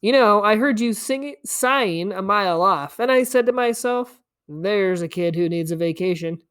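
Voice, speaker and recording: A woman's voice: medium pitch, moderate pace, smooth, close to an everyday voice. A woman voicing a scrawny, grinning male stranger in a storybook, teasing and coaxing in a theatrical way. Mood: coaxing, breezy. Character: scrawny, grinning, wheedling stranger man, fast-talking trickster.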